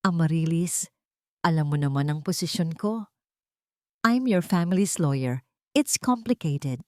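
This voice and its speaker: An earnest male lawyer in a romantic audio story, acted out by a woman narrator. He speaks gravely and sympathetically in a low register, with a dry, close sound. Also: measured pace, mellow, dry recording. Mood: reluctant.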